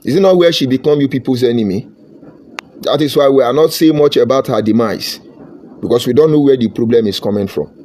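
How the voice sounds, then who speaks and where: A man's voice: medium pitch, a little fast, dark tone. Fervent Nigerian man ranting. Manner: fervent and combative, slipping into Pidgin phrases. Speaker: political commentator. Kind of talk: impassioned political monologue.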